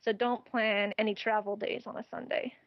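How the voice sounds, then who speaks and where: A woman's voice: mid-range voice, fairly even intonation, moderate pace, gravelly, dry recording, dull audio. A woman guest on a travel interview, chatting casually and laughing often as she gives practical advice.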